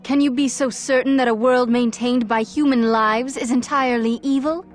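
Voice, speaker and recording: A woman's voice: sits high, steady tone, moderate pace, soft background music, close to an everyday voice. A woman voicing a stern, self-righteous female antagonist in a fantasy video game, formal and accusatory. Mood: stern, accusing. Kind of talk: video game cutscene acting.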